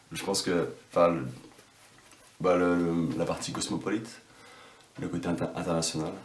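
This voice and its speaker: Deep-voiced young French musician. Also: male voice, little pitch movement, moderate pace. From France. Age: 20s. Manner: hesitant, searches for words. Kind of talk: casual interview answers. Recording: roomy, distant, faint noise.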